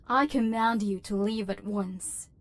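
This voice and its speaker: A woman's voice: medium pitch, unhurried, close to an everyday voice. A woman voicing an imperious robot overlord who despises humans, speaking in formal, machine-like phrases in an ASMR roleplay. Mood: haughty, threatening. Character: haughty, human-hating female robot overlord, secretly lonely. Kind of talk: ASMR roleplay, dramatic villain monologue.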